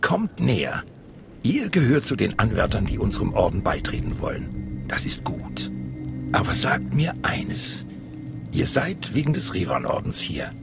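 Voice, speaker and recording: A man playing an ominous older cult master in a video game, with a hushed, menacing intensity. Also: fairly low pitch, wildly swooping pitch, measured pace, vocal fry, music in the background, heavily acted. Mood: ominous, probing. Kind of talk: dramatic video game voice acting in German.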